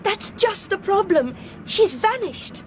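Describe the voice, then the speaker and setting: A woman's voice: very high-pitched, lively intonation, slow, full character voice. An actress playing an insistent, anxious young Englishwoman on a train in a radio drama. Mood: determined. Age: young adult. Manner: urgent, determined. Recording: noisy train ambience behind voice.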